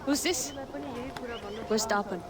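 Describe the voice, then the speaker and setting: A woman's voice: medium pitch, expressive, slow, a loud crowd. An actress playing a blunt, impatient female rebel fighter in a video game cutscene, speaking in curt, cutting lines. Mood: hostile.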